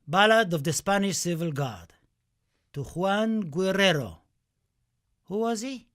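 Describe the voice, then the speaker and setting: High-voiced, impassioned Spanish poet, acted by a man. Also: lively intonation, slow, a bit bright, heavily acted. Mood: solemn, mournful. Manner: impassioned, declamatory, dramatic. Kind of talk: theatrical radio-play monologue and poetry recital. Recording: music under the voice. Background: music.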